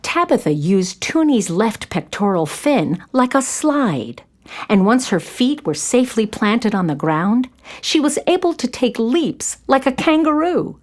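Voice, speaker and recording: A woman's voice: fairly high pitch, very animated, unhurried. A woman narrating a scripted children's story as its storyteller.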